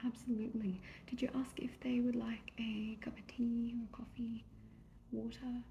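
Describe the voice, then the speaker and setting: A woman doing a soft-spoken ASMR therapist roleplay, gentle and reassuring, often slipping into a whisper. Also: on the high side, little pitch movement, normal speed, vocal fry. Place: small indoor room at a desk. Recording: soft background noise, keyboard clicks. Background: computer keyboard typing.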